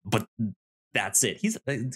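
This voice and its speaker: Animated man, halting casual podcast talk. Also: lowish voice, husky. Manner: halting, repeats words as he thinks. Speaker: podcast co-host. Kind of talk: casual chat reviewing a TV show.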